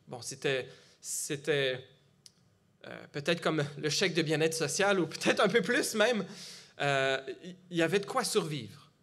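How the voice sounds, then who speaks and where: Middle-aged man, Quebec French accent, preaching in church, chatty delivery, slightly high pitch. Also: bright, forceful tone, breathy edge. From Gatineau, Quebec. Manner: conversational, with frequent fillers and restarts. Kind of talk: sermon.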